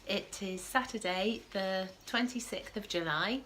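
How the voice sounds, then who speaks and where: A woman's voice: mid-range voice, a little slow, fairly bright tone, a little hiss or hum. A woman hosting a casual knitting podcast from home, sniffling with a starting cold and rambling with frequent self-corrections. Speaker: podcast host.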